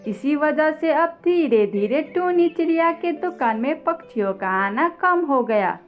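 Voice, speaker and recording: A woman's voice: high voice, lively intonation, measured pace, muffled recording, soft background music. A woman narrating a scripted children's story as a storyteller.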